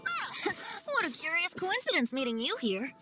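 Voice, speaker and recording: A woman's voice: very high-pitched, wildly swooping pitch, moderate pace, over loud music, full character voice, poor audio. A woman voicing a whimsical boyish male bard in a video game, speaking in flowery, theatrical phrases. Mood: playful. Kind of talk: animated video game voice acting.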